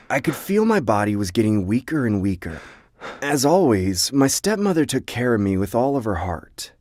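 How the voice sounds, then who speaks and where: A man's voice: medium pitch, darkish tone, no room sound, studio quality. A man narrating a scripted horror story in the first person, with a dramatic storytelling manner.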